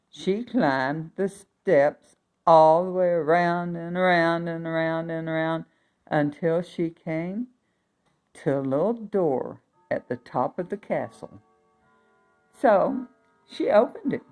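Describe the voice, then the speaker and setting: A woman reading a fairy tale aloud for young children, expressive, with chatty asides and emphatic repetition. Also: fairly low pitch.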